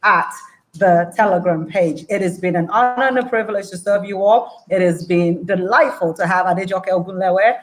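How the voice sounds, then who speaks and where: A woman's voice: fairly low pitch, moderate pace, poor audio. A woman with a Nigerian accent hosting an online event in a formal, effusive manner. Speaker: event host. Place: online video call.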